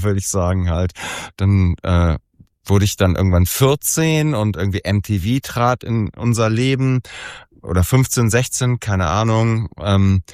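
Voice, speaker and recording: A man's voice: fairly low pitch, darkish tone, no echo, clean studio sound. A man in his forties, a musician being interviewed, talking casually and rambling with many fillers and restarts. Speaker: musician guest.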